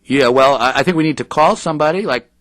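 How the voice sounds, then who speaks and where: An actor playing a hesitant, smitten reporter in an audio drama, with a halting, stammering delivery. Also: male voice, fairly high pitch, fairly bright tone, no room sound, studio quality, lightly acted. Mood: uneasy. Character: hesitant, smitten male reporter living with his girlfriend.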